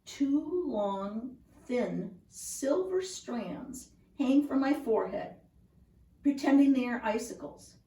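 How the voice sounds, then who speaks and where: A woman reads a children's book aloud at a very unhurried, deliberate pace. Her voice sits fairly high. It is recorded in a reverberant room at home, some distance from the microphone. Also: expressive, warm tone. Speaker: storybook reader. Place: indoors at home.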